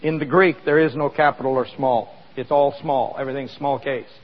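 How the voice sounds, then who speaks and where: A man's voice: mid-range voice, fairly even intonation, a little fast, in a large hall, dull audio. A man preaching a sermon in a conversational way, asking the congregation questions as he explains scripture. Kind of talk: sermon with live demonstration.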